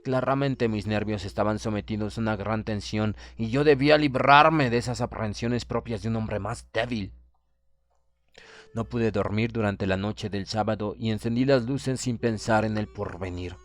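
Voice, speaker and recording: A man's voice: fairly low pitch, fairly even intonation, moderate pace. Man narrating a solemn literary audiobook. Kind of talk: dramatic literary audiobook narration in first person.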